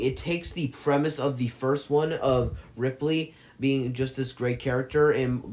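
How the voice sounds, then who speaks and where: A man giving casual, effusive commentary on his favorite movies, rambling and correcting himself as he goes. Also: mid-range voice. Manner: gushing, effusive praise. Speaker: movie list vlogger.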